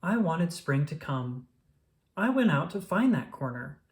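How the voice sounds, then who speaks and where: A reader voicing a calm, reassuring male frog from a children's storybook, telling things patiently and encouragingly. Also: medium pitch, unhurried. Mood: gentle storytelling.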